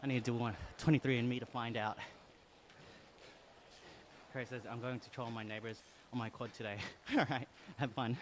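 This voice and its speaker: Man, Australian accent, mid pitch, casual live-stream chat, upbeat, laughs often, faint background noise. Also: fairly even intonation, moderate pace, pauses often. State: exercising on an indoor bike while talking.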